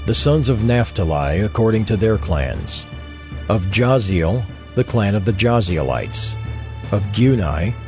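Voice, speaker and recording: A man proclaiming scripted scripture in a formal, declamatory manner, like an official reader. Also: on the low side. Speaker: list reader.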